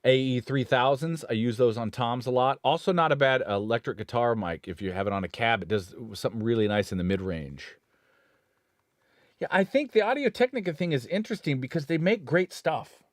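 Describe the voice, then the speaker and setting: A man's voice: medium pitch, expressive, brisk, no echo. A man talks shop about mixing boards and microphones in an easygoing, rambling way, often circling back to correct himself. His voice sits in an ordinary middle register. The sound is dry, with little room around it. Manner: rambling, self-correcting, enthusiastic about gear. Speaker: studio engineer co-host. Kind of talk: casual live-stream gear chat.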